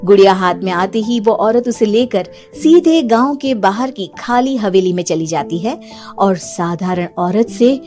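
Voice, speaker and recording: A woman storyteller giving an expressive, scripted narration of a dramatic tale. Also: mid-range voice, a little slow, soft background music.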